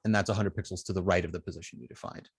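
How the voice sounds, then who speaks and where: A man teaching informally as an instructor, thinking aloud with halting, repeated and restarted phrases. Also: low-pitched, steady tone, husky. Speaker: web design instructor.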